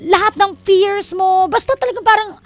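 A woman's voice: very high-pitched, normal speed, no echo. A woman hosting a radio show, chatting casually and giggling, mixing English into her Tagalog. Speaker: radio host.

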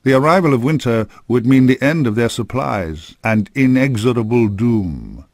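A man's voice: mid-range voice, lively intonation, moderate pace, no room sound. A man narrating a fantasy game from a script in a solemn, formal storytelling manner, close on a studio microphone.

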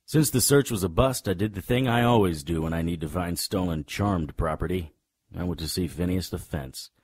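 An actor playing a sardonic, tough-talking private detective in a noir radio drama. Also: male voice, low voice, normal speed, darkish tone, breathy edge. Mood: wry, world-weary. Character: hard-boiled male private detective, sardonic and threatening.

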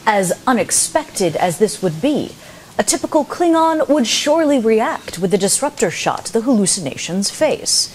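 A woman playing a formal, logical Vulcan narrator, delivering measured, precise scripted narration in a live stage play. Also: a little high, sing-song. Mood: composed, formal. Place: theatre stage, indoors. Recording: live stage recording with background noise.

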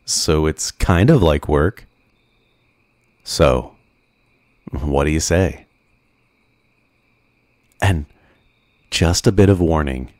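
Guy doing lovesick obsessive supervillain for asmr roleplay, super low voice, slow and theatrical, real close dry mic. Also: darkish tone, dry recording. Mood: menacing. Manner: theatrical, slow, brooding, with dramatic pauses. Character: obsessive, self-pitying, lovesick male super villain. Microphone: close studio mic, dry.

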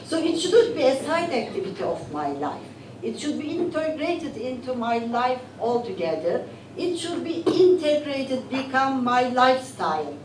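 An older woman gives a lively, questioning panel talk, her voice set fairly high and swinging widely in pitch. She is heard from a distance through a podium mic, with the sound echoing around the conference room. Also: moderate pace, a little hiss or hum. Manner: animated, poses rhetorical questions to audience. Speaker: panelist, academic. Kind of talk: lecture-style panel talk. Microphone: podium mic, recorded from far camera. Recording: roomy hall audio from far off.

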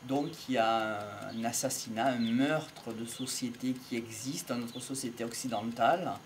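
A middle-aged man gives an improvised, reflective monologue in a measured way, pausing between short phrases. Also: medium pitch, fairly even intonation, slight background noise. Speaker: amateur lecturer on psychology and philosophy. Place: outdoors in an open field by trees. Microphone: small wired mic held near the mouth.

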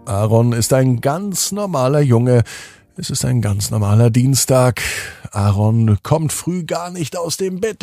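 Slow, expressive male bedtime storyteller. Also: medium pitch, dry recording, studio quality. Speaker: children's bedtime story podcast host and narrator. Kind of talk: scripted bedtime story narration.

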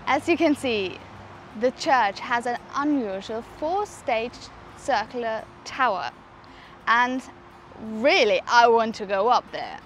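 Higher-voiced young woman vlogging. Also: a bit bright, a little hiss or hum. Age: 20s. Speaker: vlogger, presenter. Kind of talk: travel vlog presenting to camera. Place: outdoors in a churchyard. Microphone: clip-on lapel mic.

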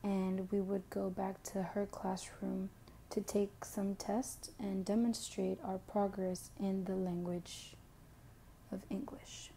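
Slow-reading young woman, gravelly voice. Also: medium pitch, level tone, mellow, slight background noise. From Mexican background. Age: young adult. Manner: slow, careful reading, switching to Spanish for a passage. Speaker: education student. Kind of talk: reading a prepared self-introduction.

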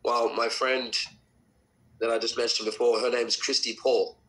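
A man in his forties answering questions hesitantly, with many pauses and restarts, over a video call. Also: medium pitch, level tone, fairly bright tone, rough recording. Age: 40s. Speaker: client of a reading. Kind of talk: casual conversation.

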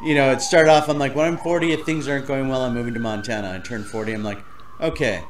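A man's voice: mid-range voice, steady tone, fast. A man in his forties from Los Angeles hosts a loose, chatty interview over video call. He is laid-back and pokes fun at himself, and a faint hiss sits under his voice. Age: 40s. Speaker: podcast host. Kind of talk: casual interview chat.

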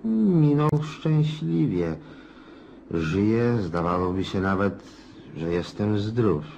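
An actor playing a talkative, grumbling older country landowner in a radio drama, rambling in a folksy, world-weary yet jovial way. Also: male voice, lowish voice, expressive, takes it slowly, gravelly, darkish tone, muffled recording, slight background noise, exaggerated voice. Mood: musing, rambling. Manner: rambling, folksy, chuckling, exclamatory.

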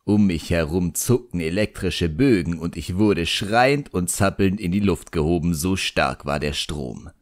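A man narrating an audiobook in the first person, expressive, wry and theatrical. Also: sits low, measured pace, few pauses, no room sound, studio quality.

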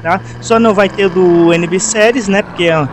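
A man's voice: high-pitched, normal speed. A Brazilian man giving casual gameplay commentary, slangy and full of tag questions. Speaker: gaming video host. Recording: noisy game and car sounds behind. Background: game traffic ambience.

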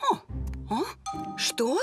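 A woman's voice: very slow, over music. A woman voicing a harried, dramatic female kangaroo shopkeeper in a children's cartoon. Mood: fretful. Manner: fretful, dramatic.

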